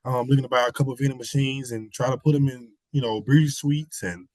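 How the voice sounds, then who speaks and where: Man, slightly hoarse, animated casual sports talk, dry close sound. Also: medium pitch, fast, no echo, poor audio. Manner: animated, repeats phrases, slangy. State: hoarse, getting over a cold. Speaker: podcast guest.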